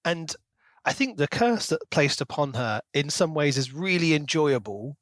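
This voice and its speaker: A man's voice: on the high side, lively intonation, normal speed, fairly bright tone, dry recording, clean studio sound. A man co-hosting a podcast, discussing books casually in an articulate, analytical way. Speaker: podcast co-host.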